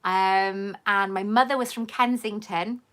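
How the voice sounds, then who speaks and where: Bright-voiced chatty woman with English-Welsh accent. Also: mid-range voice, fairly even intonation, unhurried. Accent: mixed southern English and Welsh. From England, raised in Wales. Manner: chatty, reads viewer comments aloud, laughs often. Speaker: host. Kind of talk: live Q&A stream.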